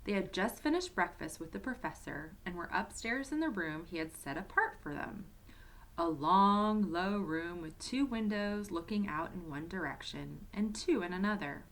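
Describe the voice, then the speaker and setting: A woman's voice: fairly low pitch, normal speed. Woman reading a children's storybook aloud. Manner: expressive, addresses young listeners directly.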